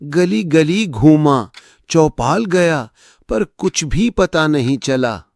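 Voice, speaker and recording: A man voicing a dejected village son with a creaky voice in a cartoon story. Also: on the high side, animated, slow, no echo, studio quality, full character voice. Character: dejected village son reporting a fruitless search. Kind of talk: Hindi cartoon story dialogue.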